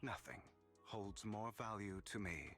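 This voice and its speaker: An actor playing a proud, scheming young gentleman thief in a video game, speaking in formal, eloquent lines with dramatic delivery. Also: fairly low pitch, unhurried, soft background music. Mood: earnest, reflective.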